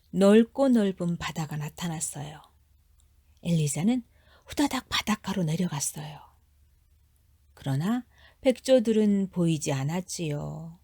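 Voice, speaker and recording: A woman with a soft, mellow, slightly low voice narrates a children's fairy tale. She reads carefully and expressively in a dry, close recording. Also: no room sound. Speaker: storyteller.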